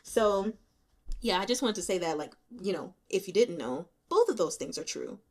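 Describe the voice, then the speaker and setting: A woman gives casual book commentary as a channel host. Her delivery is candid and colloquial, with an animated, chatty feel and an everyday mid-range pitch. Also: moderate pace. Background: rain.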